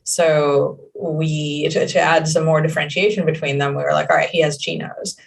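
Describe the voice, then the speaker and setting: Gravelly woman, distant mic. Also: sits low, flat delivery, moderate pace. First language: Hebrew. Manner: rambling, many fillers and restarts. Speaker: game creative director. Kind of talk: casual interview answers.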